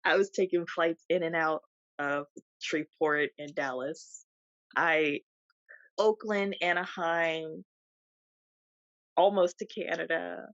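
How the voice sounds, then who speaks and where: A woman with a slightly low voice tells stories on a casual podcast and breaks into laughter often. The sound is dry and close, with a dull, lo-fi tone. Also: steady tone, slow, pauses often, fairly bright tone, no echo. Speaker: podcast co-host. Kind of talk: casual chat.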